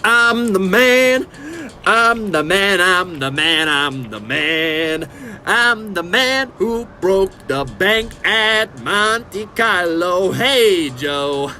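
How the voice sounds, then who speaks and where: A man's voice: high voice, lively intonation, very slow, sharp tone, faint music behind, exaggerated voice. A man acting a cocky, jovial, bossy construction worker on stage, boastful and needling. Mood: cheerful, boastful. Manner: boastful, needling, blue-collar banter. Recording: outdoor recording with background noise, sirens.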